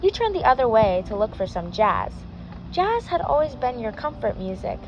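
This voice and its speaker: A woman's voice: a little high, sing-song, normal speed, lo-fi sound, a little hiss or hum. A woman reading a fan fiction story aloud, sometimes stumbling and correcting herself. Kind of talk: scripted story narration.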